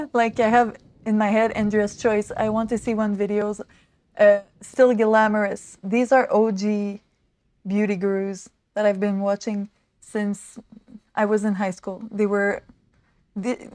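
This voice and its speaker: Woman, 30s, livestream makeover host; slow, rambling, excitable; dry close mic. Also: mid-range voice, dry recording. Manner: rambling, excitable, many fillers like "like" and "um". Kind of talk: casual chatty livestream talk. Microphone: small desk mic.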